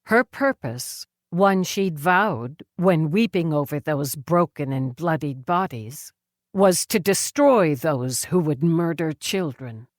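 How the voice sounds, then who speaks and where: A woman narrating a novel as an audiobook, in a measured, grave and dramatic way. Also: deep voice, lively intonation, dry recording, clean studio sound.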